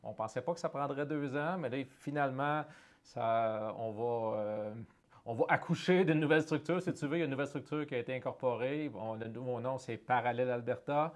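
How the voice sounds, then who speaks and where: A man's voice: mid-range voice, brisk. A middle-aged man with a Canadian French accent, answering interview questions earnestly, often restarting phrases as he searches for words. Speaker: guest, head of a francophone economic development organization. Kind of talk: conversational interview.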